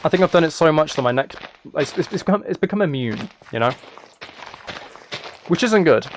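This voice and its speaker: A man chatting casually on a gaming livestream, rambling haltingly with playful, mock-serious dry humour. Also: a little high, expressive, a little hiss or hum. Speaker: live streamer.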